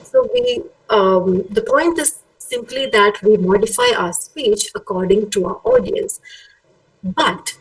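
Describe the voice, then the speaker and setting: A woman's voice: mid-range voice, big pitch swings, slow, vocal fry. A woman with a Malayali Indian English accent, a native Malayalam speaker, giving a conversational, explanatory webinar lecture and often checking for agreement. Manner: conversational, frequently seeks agreement with tag questions. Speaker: academic guest lecturer. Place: online webinar. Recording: distant microphone, online webinar audio.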